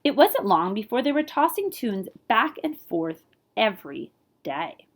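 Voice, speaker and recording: A woman reads a children's picture book aloud in the role of a librarian. Her delivery is animated and playful, with a widely moving pitch. She steps out of the story to chat to her listeners. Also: measured pace. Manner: animated, playful with scat-like sound words. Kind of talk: expressive children's read-aloud with asides to listeners.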